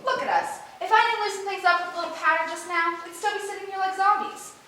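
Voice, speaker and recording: A woman's voice: extremely high pitch, fairly even intonation, quick pace, pushed and bright. A woman playing a vain, ditzy young actress with bubbly, emphatic chatter in a stage play rehearsal. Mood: self-satisfied, chatty. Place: echoey indoor rehearsal room. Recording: very echoey room, distant mic, faint noise.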